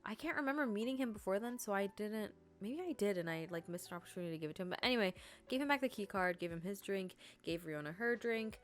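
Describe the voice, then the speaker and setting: A woman's voice: mid-range voice, lively intonation, quick pace, faint music behind. A woman hosting a game playthrough in a chatty, rambling way, her nose stuffed up from allergies. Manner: chatty and rambling, with many fillers and self-corrections. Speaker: gaming video host. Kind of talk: casual let's-play commentary.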